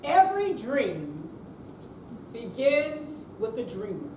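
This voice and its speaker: A woman's voice: high voice, very animated, slow, mellow. A woman giving a dramatic recitation in an amateur readers theater performance. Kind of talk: slow dramatic recitation with some singing. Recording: roomy, distant live recording, faint noise.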